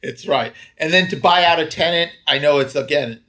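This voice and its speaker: A man's voice: fairly high pitch, normal speed, bright, forceful tone. A man hosting a podcast interview, asking questions with upbeat, joking banter. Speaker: podcast host.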